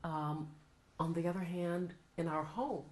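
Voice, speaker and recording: A middle-aged American woman, a law professor, answering interview questions in a thoughtful, measured way. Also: on the low side, steady tone, darkish tone. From United States. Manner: thoughtful, measured, laughs often. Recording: roomy echo, faint noise.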